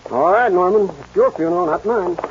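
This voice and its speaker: A man's voice: sits high, lively intonation, a little fast. An actor playing a threatening henchman with folksy Western talk in a 1940s radio drama. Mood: menacing. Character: menacing male henchman of a cattle boss. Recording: dull 1940s radio recording, faint noise.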